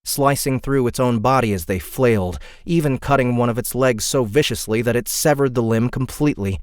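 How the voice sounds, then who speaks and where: A man's voice: mid-range voice, clear, resonant tone, no room sound, studio quality. A man reading dramatic scripted fantasy narration as an audiobook narrator.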